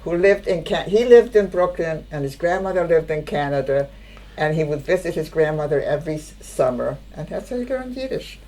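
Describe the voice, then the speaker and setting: An older woman, a native Hungarian speaker, giving spoken testimony in a halting way, often searching for words. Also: sits low, lively intonation, warm tone, low-quality recording. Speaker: interviewee.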